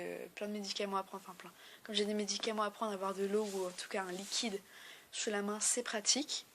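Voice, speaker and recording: A woman's voice: mid-range voice, pushed and bright, slight background noise. A young woman with a blocked nose and a sore throat, chatting casually and rambling with lots of fillers in a home vlog filmed on a tablet at home. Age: young adult. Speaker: beauty vlogger. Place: at home in a bedroom. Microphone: tablet camera.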